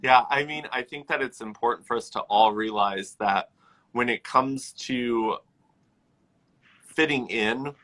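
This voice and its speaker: A man's voice: medium pitch, unhurried, a bit bright, poor audio. A man talking reflectively but firmly in a casual conversation, leaning on "like" as a filler. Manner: reflective, lots of "like" fillers, firm.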